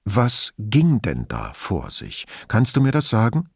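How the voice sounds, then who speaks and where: A man playing a calm, patient male investigator in an audiobook, asking probing questions in a quiet, measured and reserved way. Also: on the low side, gravelly, no echo, clean studio sound, heavily acted. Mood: measured questioning. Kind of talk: audiobook character dialogue.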